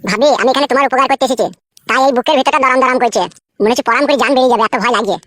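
A woman's voice: sits high, rapid-fire, fairly bright tone, dry recording. A woman voicing a scheming young village man in a cartoon, speaking in a wheedling, rustic way. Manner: wheedling, rustic dialect speech. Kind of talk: cartoon voice acting.

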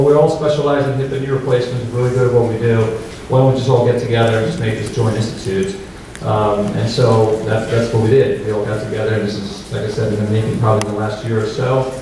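A man's voice: mid-range voice, a little mellow. A man in his thirties from Albania, a surgeon giving an informal, conversational medical talk and often checking in with listeners. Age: 30s. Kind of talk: informal medical presentation to a live audience. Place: hotel-style meeting room with seated audience. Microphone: handheld mic, picked up from far off by an audience camera. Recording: distant, very echoey room with faint noise.

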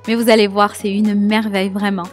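A woman's voice: mid-range voice, flat delivery, brisk, faint music behind. A young woman narrating a cleaning video voice-over, sharing tips with enthusiasm and frequent hesitations. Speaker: home cleaning vlogger.

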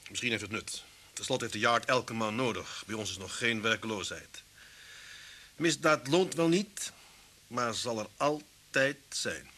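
An actor playing a frank, level-headed police officer in a radio play. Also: male voice, medium pitch, a little slow, pushed and bright. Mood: matter-of-fact. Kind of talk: Dutch radio play acting. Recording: hissy old radio recording.

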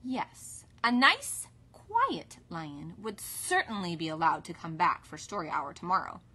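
A woman voicing a stern, rule-minded female head librarian with a brisk, firm, commanding manner in a storybook. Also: lowish voice, sing-song, sharp tone, breathy edge, exaggerated voice. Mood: measured approval.

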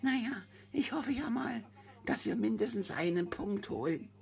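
A woman's voice: medium pitch, wildly swooping pitch, moderate pace, a little mellow, faint music behind. A woman voicing a sweet, sniffly cheese worm puppet, coughing in a homemade puppet play. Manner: singsong, swooping, cosy. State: has a cold, coughing.

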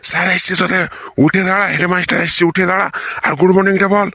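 A man's voice: high voice, level tone, fast, sharp tone, dry recording. A man voicing a bossy, proud village schoolteacher in a comedy cartoon, insistent and repetitive, with a rural West Bengal accent. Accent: rural West Bengal (Bankura). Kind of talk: comic cartoon voice acting.

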